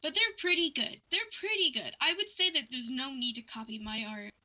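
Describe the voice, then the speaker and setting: A young woman with a very bright, piercing tone and a fairly high pitch. She tells stories in a casual, animated rant, slipping into quoted back-and-forth as she goes. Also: brisk. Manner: animated ranting, quoting conversations in dialogue. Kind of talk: casual storytelling chat.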